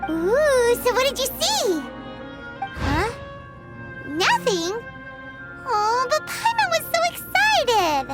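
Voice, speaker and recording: Casting: tiny excitable fairy sidekick, extremely high childlike voice, wildly animated, music underneath. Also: female voice, slow, pauses often, heavily acted. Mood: excited. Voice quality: childlike, squeaky. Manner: bubbly, refers to herself in third person. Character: small excitable floating fairy girl companion. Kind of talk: animated video game voice acting. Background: game music.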